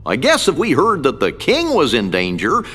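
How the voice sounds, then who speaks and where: Dopey cartoon dog, slow drawl, husky, echoey hall. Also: male voice, medium pitch, huge pitch swings, a bit bright, soft background music, full character voice.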